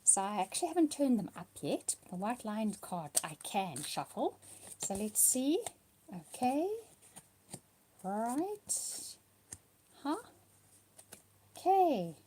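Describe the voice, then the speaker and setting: A woman with a cold and a partly lost voice, giving a weekly tarot card reading, soft and slipping into whispers at times. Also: fairly high pitch, big pitch swings, takes it slowly, pauses often, slight background noise.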